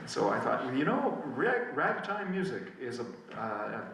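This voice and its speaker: A man's voice: mid-range voice, very animated, measured pace, gravelly, fairly bright tone, slight background noise. A man giving a casual spoken introduction as a musician about to perform a song. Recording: very echoey room, distant mic, music.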